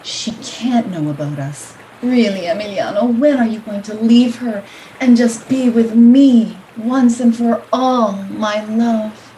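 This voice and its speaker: A woman's voice: fairly high pitch, slow, mellow, a little hiss or hum, rough recording. A woman playing a flirtatious, impatient mistress in a radio play, with a teasing drawl. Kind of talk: radio drama acting.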